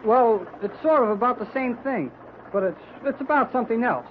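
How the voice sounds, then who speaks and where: High-pitched earnest boy sailor. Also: animated, normal speed. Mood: earnest, uneasy. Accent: American. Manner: earnest, boyish. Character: earnest, worried teenage boy deckhand, eager and plucky. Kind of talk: old-time radio adventure serial acting. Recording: muffled, noisy old radio recording.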